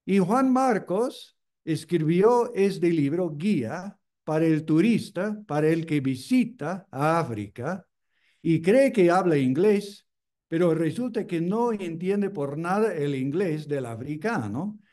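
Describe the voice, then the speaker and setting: Slow, deliberate male preacher. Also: on the high side, lively intonation, mellow. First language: English. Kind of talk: sermon.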